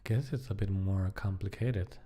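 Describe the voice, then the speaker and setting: A man giving casual live-coding commentary, thinking aloud in a halting, muttering way as he works. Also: deep voice, dark tone, husky. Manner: halting, muttering to himself while working. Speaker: programmer live-streaming a coding project.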